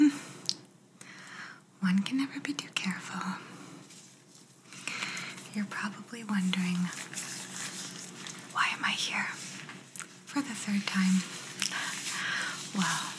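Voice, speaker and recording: A woman in her twenties doing a soft-spoken ASMR roleplay as an alien examiner, breathy and often whispering, gentle and politely clinical, close to the microphone. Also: mid-range voice, poor audio.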